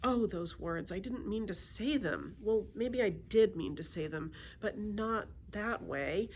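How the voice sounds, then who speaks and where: A woman's voice: medium pitch, unhurried, a little hiss or hum. A woman reading a children's book aloud as a storyteller, expressively acting out the dialogue.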